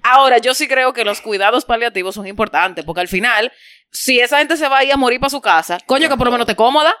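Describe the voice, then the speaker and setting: A woman's voice: on the high side, fast talker, bright, forceful tone, dry recording. A woman with a Venezuelan accent hosting a casual podcast, giving opinions in slangy, sweary, colloquial talk. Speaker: podcast host.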